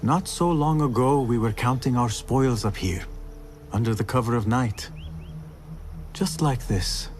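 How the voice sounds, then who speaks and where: A man's voice: mid-range voice, a little slow, a little mellow, a little hiss or hum, lightly acted. An actor playing an ambitious, cocky young male street thief in a video game, eager and proud in manner. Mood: determined. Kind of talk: video game drama acting.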